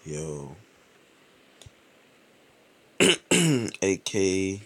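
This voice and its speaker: A young British man with a lively voice commentating his mobile game live, chatty with sudden excited outbursts, saying he is ill. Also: low voice, very slow. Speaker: streamer.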